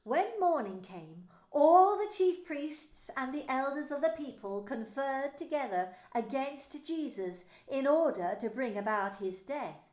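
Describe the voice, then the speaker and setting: Woman narrating the easter story, lively and expressive, echoey room. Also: high-pitched, measured pace, hardly any pauses. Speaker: narrator and minister. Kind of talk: dramatised scripture narration. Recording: roomy echo.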